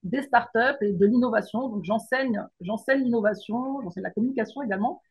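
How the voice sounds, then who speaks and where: A woman's voice: fairly high pitch, a little fast, husky. A middle-aged woman from the Paris region, a guest coach, talking thoughtfully with many fillers and restarts. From France, Paris region. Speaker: guest, mindset coach and teacher. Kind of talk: remote interview conversation.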